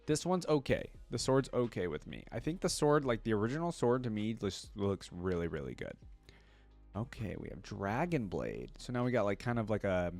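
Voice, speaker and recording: A man's voice: on the low side, big pitch swings, normal speed, gravelly, darkish tone, faint music behind. A man giving casual, slangy reaction commentary as a video host, thinking aloud as he goes.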